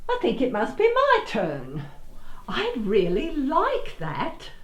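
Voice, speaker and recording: A woman's voice: high-pitched, huge pitch swings, takes it slowly, a bit bright, reverberant, full character voice. A woman playing a flighty, childishly eager soap actress in a comic radio play. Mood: eager, insistent.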